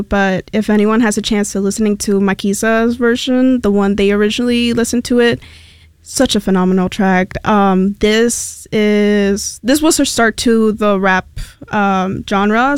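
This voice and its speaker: A young woman from Mexico, a native Spanish speaker, talks easily in a radio studio as the station's intern. Her voice comes through smooth and clear. The sound is close and dry, and her casual, rambling manner is full of little fillers. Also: mid-range voice, dry recording. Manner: chatty and rambling, many fillers.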